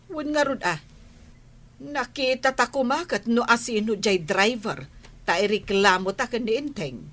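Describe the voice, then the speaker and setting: An actress playing a bossy, scolding village wife in a radio comedy, with an Ilocano Filipino accent. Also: female voice, a little high, lively intonation, unhurried, a bit bright. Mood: indignant.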